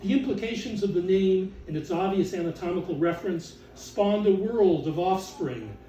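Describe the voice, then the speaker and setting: Theatrical high-voiced man reading in a roomy space. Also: warm tone. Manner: theatrical, deliberate phrasing with drawn-out emphasis. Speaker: author and exhibition curator reading aloud. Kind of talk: dramatic recitation of catalog text to an audience. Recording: roomy, distant mic with background noise.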